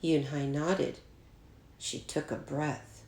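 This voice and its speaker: A woman's voice: low voice, steady tone, takes it slowly, a little mellow. A woman teacher reading a picture book aloud in a careful storytelling style for children. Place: indoors at home, echoey room. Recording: roomy, echoey home recording.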